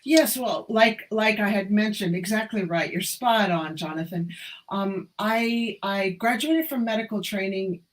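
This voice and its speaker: A woman's voice: medium pitch, measured pace, low-quality recording. An American woman in her sixties, a doctor being interviewed, speaking with emphatic enthusiasm. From USA. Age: 60s. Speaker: medical doctor guest. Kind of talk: conversational interview.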